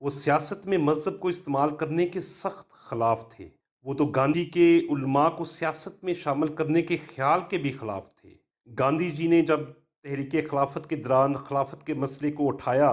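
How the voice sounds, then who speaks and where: A man with a Pakistani accent reading a formal, measured scripted educational narration as a history narrator. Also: fairly high pitch, dull audio.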